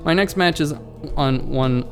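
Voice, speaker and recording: A man's voice: mid-range voice, a little slow, creaky, over music. A man hosting a let's play, reading a visual novel aloud and stumbling over words now and then, with casual side comments.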